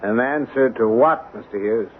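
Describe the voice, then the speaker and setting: A male actor playing an earnest, protective middle-aged colonel in a 1970s radio drama, speaking in a measured, emphatic way with pointed rhetorical questions. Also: medium pitch, a little hiss or hum, natural voice. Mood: firm, challenging. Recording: tinny, band-limited 1970s radio recording.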